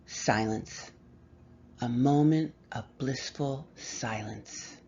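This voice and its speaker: A woman reading a horror story aloud with measured, expressive delivery and dramatic pauses. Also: rumbling low, highs cut off, a little hiss or hum. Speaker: story reader.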